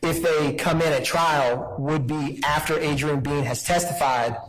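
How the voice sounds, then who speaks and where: A male prosecutor with a moderately high voice addresses a court. His delivery is formal and methodical, and he is deferential as he sets out his legal argument. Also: fairly even intonation, unhurried, poor audio.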